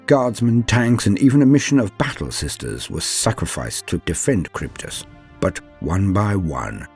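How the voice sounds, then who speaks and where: A man narrating war-fiction lore in a grave, theatrical manner, with deliberate phrasing that falls at the ends of lines. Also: fairly low pitch, darkish tone. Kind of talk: dramatic scripted reading of war-fiction lore. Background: background music.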